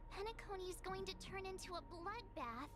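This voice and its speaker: A woman's voice: very high-pitched, expressive, normal speed, noisy background. A woman voicing an earnest young girl in a video game, recounting things in a youthful voice. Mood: earnest, worried.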